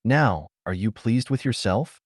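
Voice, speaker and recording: Guy reading as an indignant shocked man in old scifi, emphatic, swoopy pitch, dry sound. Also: lively intonation, unhurried, slightly rough, no echo. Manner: incredulous, emphatic. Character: indignant, easily shocked man in pulp science fiction.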